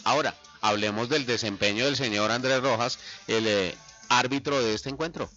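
A man's voice: mid-range voice, moderate pace, bright, forceful tone. A man hosting a sports radio segment, joking and quizzing his co-host. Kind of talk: lively sports radio banter. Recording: muffled lo-fi radio broadcast with faint music.

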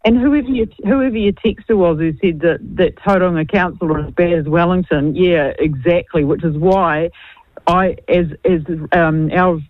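A woman's voice: fairly low pitch, normal speed, dry recording. Female NZ caller, chatty and self-deprecating, dry muffled line. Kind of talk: casual radio call-in chat. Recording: dull phone-line sound.

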